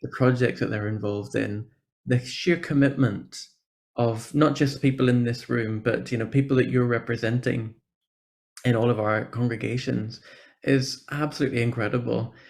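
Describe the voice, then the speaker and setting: Gravelly Northern Irish man presenting. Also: medium pitch, fairly even intonation, moderate pace, a little mellow. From Northern Ireland. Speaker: church programme officer, guest speaker. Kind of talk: informal conference presentation. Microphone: video call.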